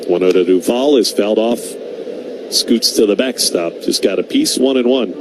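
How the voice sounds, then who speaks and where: An American man calling live baseball play-by-play on the radio and reading sponsor spots between pitches. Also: moderate pace. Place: ballpark broadcast booth. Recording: noisy live ballpark radio broadcast.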